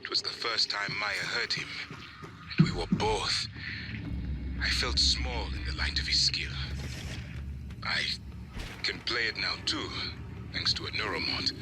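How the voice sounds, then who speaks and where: An actor playing an anxious, courteous scientist in a video game, speaking politely, hesitantly and earnestly. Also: male voice, fairly low pitch, expressive, unhurried, pauses often, heavy background noise. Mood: earnest, pleading. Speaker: doctor, scientist.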